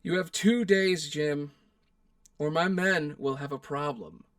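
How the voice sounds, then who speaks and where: A man's voice: fairly high pitch, takes it slowly, fairly bright tone. A man acting a bullying, imperious governor in an audio drama, with a domineering, threatening way of talking. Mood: menacing. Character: bullying corrupt male governor, menacing and imperious.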